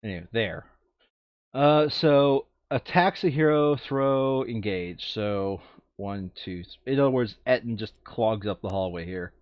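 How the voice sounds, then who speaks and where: A man's voice: medium pitch, a little slow, no room sound, lo-fi sound. A man playing a board game, casually narrating the steps and muttering asides to himself as he works through them. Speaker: tabletop game player and narrator.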